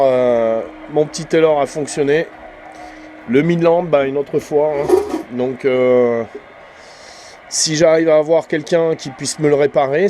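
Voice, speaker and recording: A man's voice: medium pitch, warm tone, slight background noise. A man giving a casual, rambling hobbyist vlog commentary, hesitant and often trailing off with little tag words. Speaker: amateur CB radio hobbyist and channel host.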